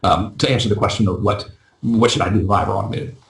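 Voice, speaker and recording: Brief: man running a live webinar, rapid chatty delivery, slightly hoarse, echoey room. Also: lowish voice, steady tone. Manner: fast, chatty, self-deprecating, often asks "right?". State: losing his voice. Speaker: business coach teaching a live webinar. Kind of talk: casual live webinar teaching.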